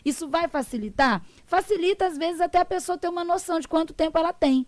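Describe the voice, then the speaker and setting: A woman's voice: very high voice, fast, a little mellow, no echo. A woman lawyer on a talk show, explaining in a didactic way and acting out her clients' words. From Brazil. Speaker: social security lawyer, guest.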